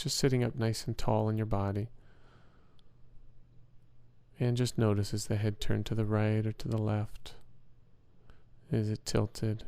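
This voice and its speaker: A man leading a guided meditation as an instructor, speaking in a soothing, measured and gentle way with pauses. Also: fairly low pitch, smooth, no room sound.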